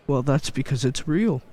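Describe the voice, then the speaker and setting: A man's voice: mid-range voice, a little mellow. An actor playing a jolly, kindly elderly Santa Claus in a radio play, genial and grandfatherly.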